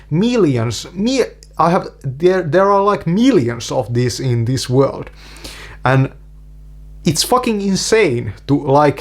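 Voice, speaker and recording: A man from Finland with a Finnish accent, speaking English as a second language in a deadpan comic monologue, full of fillers and restarts. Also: a little high. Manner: halting, many fillers and restarts. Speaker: YouTuber.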